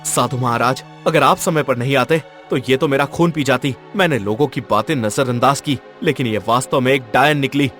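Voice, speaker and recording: A young, brave prince, played by a man, speaks in a mid-pitched, earnest voice. His delivery is determined and dramatic, in cartoon fairy-tale style over faint music. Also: moderate pace, few pauses, a bit bright, natural voice. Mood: shaken. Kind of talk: animated fairy-tale voice acting.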